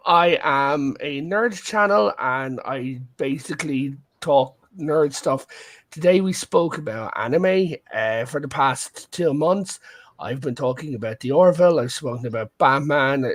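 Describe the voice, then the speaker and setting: A tired man chatting casually on a group voice call, joking and rambling a bit. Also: medium pitch. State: tired late at night. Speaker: streamer and guest. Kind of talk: casual voice chat.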